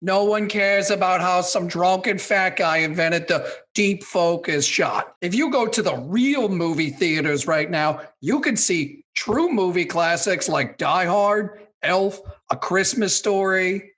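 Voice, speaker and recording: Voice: medium pitch, animated, measured pace, few pauses, fairly bright tone. Someone playing a cranky, combative older film critic. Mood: indignant. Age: 60s. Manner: grumbling, dismissive, combative. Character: cranky opinionated 68-year-old film critic, a baby boomer. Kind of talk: scripted comedy radio banter.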